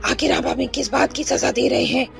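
A captive witch, super high creaky voice, slow n pleading, cartoon melodrama. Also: faint music behind. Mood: weary defiance. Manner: pleading, wailing, drawn-out. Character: anguished captive female witch pleading for freedom. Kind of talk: Hindi cartoon melodrama acting.

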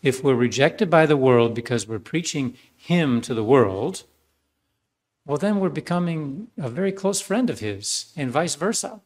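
A priest giving a sermon, telling a story in a conversational way with many fillers and ironic asides. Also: male voice, fairly high pitch, lively intonation, unhurried, darkish tone.

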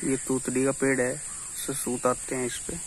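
A man's voice: mid-range voice, monotone, moderate pace, noisy background. A young man giving a casual walking tour vlog of his village farmland, chatting to viewers as friends. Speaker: village vlogger. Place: outdoors on farmland by a pond.

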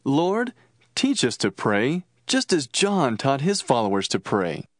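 A man's voice: medium pitch, wildly swooping pitch, takes it slowly. A man playing an earnest, reverent disciple making a respectful plea in a scripture drama. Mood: reverent request. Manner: respectful, entreating.

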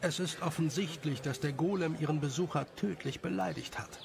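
Creaky-voiced male story narrator. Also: fairly high pitch, brisk, crowd noise.

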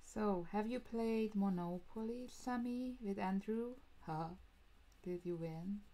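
A woman's voice: medium pitch, takes it slowly, mellow, poor audio. A woman with a German accent and German as her first language, chatting casually with viewers while coloring on a live stream, laughing often and dropping to whispers when she concentrates. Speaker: coloring livestream host. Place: indoors at a drawing desk.

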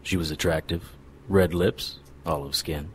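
A man playing a cocky, world-weary paranormal investigator, narrating a noir radio drama in a wry, deadpan way. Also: deep voice, unhurried, a little hiss or hum. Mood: wry, cool.

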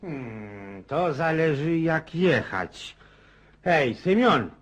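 An actor playing a talkative, grumbling older country landowner in a radio drama, rambling in a folksy, world-weary yet jovial way. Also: male voice, fairly high pitch, very animated, extremely slow, full character voice. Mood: irritated. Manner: rambling, folksy, chuckling, exclamatory.

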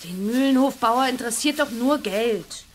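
A woman's voice: on the high side, moderate pace, slight background noise, natural voice. A woman playing a spirited, determined young witch girl in a children's radio play, eager in manner.

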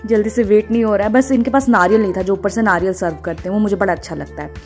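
A woman's voice: medium pitch, rapid-fire, darkish tone. A woman, narrating a travel vlog in a casual, chatty way as she talks to her viewers. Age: 20s. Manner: chatty, informal, addressing viewers. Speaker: travel vlogger. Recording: music under the voice. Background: background music.

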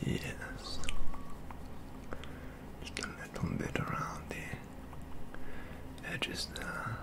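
A man in his twenties doing soft-spoken ASMR roleplay as a model painter, hushed, breathy and slow, with genteel, affected wording, close on the mic. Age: 20s. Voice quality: breathy, half-whispered, creaky. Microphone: close microphone.

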